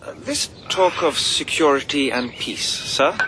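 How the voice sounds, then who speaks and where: A dry, sceptical attendant in a radio drama. His wry remarks move with lively intonation, over clearly audible outdoor ambience. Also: on the high side, a little slow, background noise. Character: dry, sceptical male companion to an emperor.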